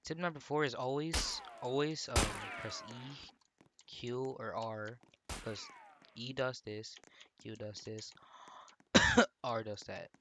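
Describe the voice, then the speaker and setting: A man's voice: mid-range voice, slow pace, pauses often, noisy background. A young man with a sore throat and stuffy nose, rambling through casual gameplay tips with lots of fillers and slang. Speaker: gaming YouTuber. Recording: loud game gunfire behind the voice. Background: gunshots and clicks from the game.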